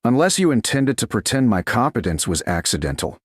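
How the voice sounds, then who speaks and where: A man's voice: mid-range voice, sing-song, brisk, breathy edge, dry recording. Man reading as a bold, composed medieval lady. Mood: calm, resolute. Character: bold, composed medieval woman, the duke's lover.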